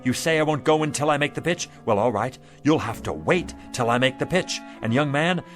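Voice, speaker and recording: An actor playing a stubborn, kindly older man, a wily pitchman, in a chatty, theatrical radio drama style. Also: lively intonation, a little fast, a bit bright, soft background music, close to an everyday voice. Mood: smug, chatty. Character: stubborn, wily, kindly old street pitchman bargaining with Death.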